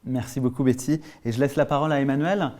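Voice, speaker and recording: Halting man hosting a business panel. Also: medium pitch, fairly even intonation, warm tone. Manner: halting, many fillers. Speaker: host and founder. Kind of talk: panel discussion moderation. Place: studio.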